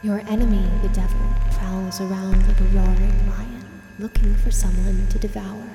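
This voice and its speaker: A woman's voice: mid-range voice, level tone, moderate pace, natural voice. A woman playing an ominous, solemn voice that recites scripture formally in a horror audio drama. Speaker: scripture reader. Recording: echoey cavernous reverb. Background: music.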